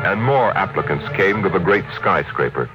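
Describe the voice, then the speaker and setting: An actor as a grand, solemn storyteller, narrating an old-time radio science-fiction drama in a portentous way. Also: male voice, deep voice, lively intonation, moderate pace, over loud music, rough recording.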